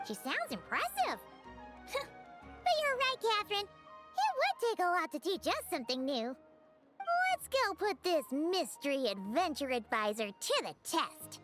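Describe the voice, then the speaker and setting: Brief: a tiny boastful fairy sidekick, very high and squeaky, wildly bouncy, game music behind. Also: female voice, measured pace, full character voice. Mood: curious. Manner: excitable, whiny, speaks of herself by name. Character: tiny floating female companion, chatty and boastful. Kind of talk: game voice acting.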